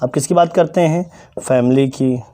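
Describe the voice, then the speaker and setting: A male teacher giving a classroom lesson to children, asking questions and answering them himself, and slowly spelling out example phrases. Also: medium pitch, dark tone. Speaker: English teacher.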